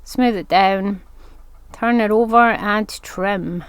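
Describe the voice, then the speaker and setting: A woman's voice: medium pitch, slow, smooth, dry recording. A woman with a congested voice from hay fever, chatting her way through a step-by-step crafting tutorial. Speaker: craft vlogger.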